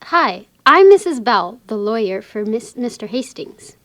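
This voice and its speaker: A woman playing a kind, professional lawyer in a children's puppet show, explaining things gently to a child. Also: fairly high pitch, very animated, unhurried. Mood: kindly, explanatory.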